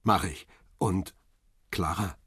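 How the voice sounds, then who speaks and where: An actor playing a brooding, middle-aged paparazzo who narrates his own story in a measured, intimate way in a radio drama. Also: sits low, fairly bright tone, husky, dry recording, clean studio sound, heavily acted. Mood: tender. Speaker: narrator and lead character. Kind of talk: radio drama, literary first-person narration.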